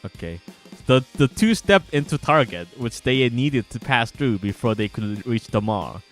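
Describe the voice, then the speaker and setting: A man's voice: mid-range voice, expressive, unhurried. A man streaming, reading narration from their own visual novel aloud with casual asides. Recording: music bed behind voice. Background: guitar music.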